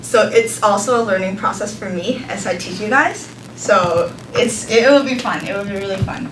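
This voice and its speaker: A young Cantonese speaker from leads a beginner class in English. She talks at a mid pitch, hesitating often with ums and soft laughs. The classroom is echoey and noisy, and she sounds well away from the mic. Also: moderate pace. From Hong Kong. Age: young adult. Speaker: volunteer language teacher. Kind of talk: live classroom lesson. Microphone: far camera. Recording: echoey room from far off, noisy.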